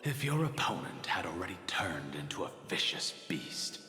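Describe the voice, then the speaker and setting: Weary composed elder, deep, animated pitch, measured, theatrical game drama, long reverb. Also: male voice, whispered. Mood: grave, probing. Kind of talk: dramatic video game voice acting. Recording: long echoing reverb, faint music.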